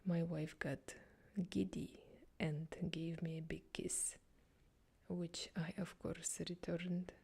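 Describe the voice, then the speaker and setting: A woman softly whispering a string of jokes as a calm ASMR reading. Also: on the low side, slow, vocal fry, breathy edge. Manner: soft whisper throughout. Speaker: joke reader.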